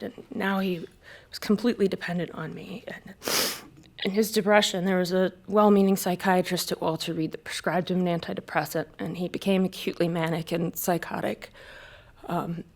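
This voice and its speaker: A woman, a military spouse, giving personal testimony at a public discussion in a halting way, with frequent hesitations and self-corrections. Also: fairly low pitch, steady tone, vocal fry, darkish tone. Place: live event space at a panel discussion.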